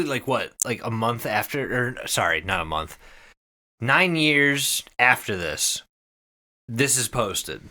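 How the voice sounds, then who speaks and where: A man's voice: medium pitch, bright, forceful tone. A man co-hosting a podcast, explaining things casually and emphatically, with some swearing. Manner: emphatic, profane. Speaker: podcast co-host.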